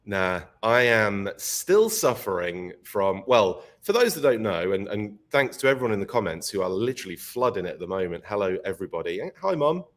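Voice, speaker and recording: A man hosting a live podcast stream, his voice croaky from illness, chatty and laughing often. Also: lowish voice, big pitch swings, moderate pace, hardly any pauses. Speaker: podcast host. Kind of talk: casual live-stream chat.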